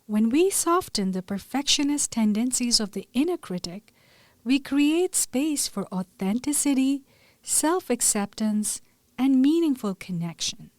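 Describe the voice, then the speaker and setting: A woman leading a gentle scripted guided meditation in a soothing, measured way, her soft voice turning breathy at phrase ends. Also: high-pitched, expressive, dry recording.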